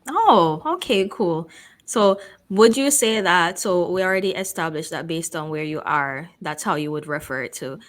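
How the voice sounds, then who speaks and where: A woman from Guyana hosting a casual interview, chatty and quick to laugh. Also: lowish voice, animated. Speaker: host.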